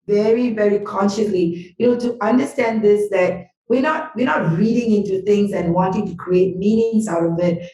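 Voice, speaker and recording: A woman's voice: mid-range voice, normal speed, dark tone, muffled recording. Woman guest talking earnest and emphatic about energy and healing, mic kinda far off. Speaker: podcast guest, business school owner and healer. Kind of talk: reflective personal sharing. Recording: roomy sound from a distant mic.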